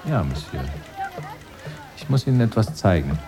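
A polite but insinuating stranger in a radio drama. His low voice is formal and deliberate, with clear music behind it. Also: big pitch swings, a crowd in the background. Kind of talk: German radio drama dialogue.

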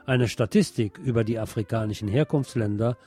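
A man presenting radio news, reading from a script in a measured bulletin style. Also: on the low side, warm tone, husky, soft background music.

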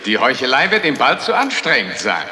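Nightmare bureaucrat, mocking, animated intonation, sinister, theatrical 1960s radio drama, echoing hall. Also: male voice, medium pitch, brisk, exaggerated voice. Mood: sneering menace. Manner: sneering, theatrical. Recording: reverberant hall, faint noise, old radio.

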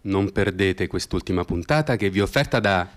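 Low-voiced male radio serial announcer. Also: lively intonation, fairly quick. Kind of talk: scripted promotional narration. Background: music.

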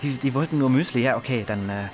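An actor playing a nervous, grumbling hacker in a radio play, speaking in a halting, distracted mutter. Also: male voice, mid-range voice, soft background music, lightly acted. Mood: glum, irritated.

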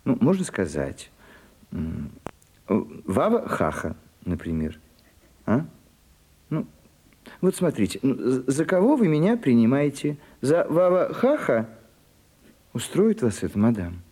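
An actor playing a prim, deferential old male secretary in a radio play, husky, hesitant and fussily polite. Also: mid-range voice, very animated, slow pace, long pauses, warm tone, full character voice. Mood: hesitant, pleading. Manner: hesitant, fussy, flustered politeness. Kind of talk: theatrical radio play acting.

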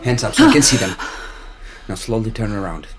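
A man's voice: on the low side, lively intonation, brisk, music in the background, natural voice. An actor playing a guarded, commanding male spy in a naturalistic radio drama, with an urgent manner. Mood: commanding, tense. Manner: urgent, commanding, switches between languages. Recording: radio drama scene with loud background noise.

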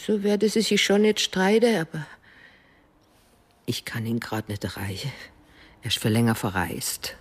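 An actress playing a secretive older mother in a radio drama, defensive and evasive, speaking haltingly. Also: extremely low pitch, sing-song, breathy edge, exaggerated voice. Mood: guarded, hesitant. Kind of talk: naturalistic German radio drama acting.

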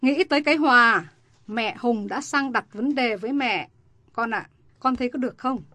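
An actress playing a strict, quick-tempered mother, scolding her daughters in a family drama. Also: on the high side, bright, forceful tone, no echo. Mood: persuading. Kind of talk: radio-style family drama.

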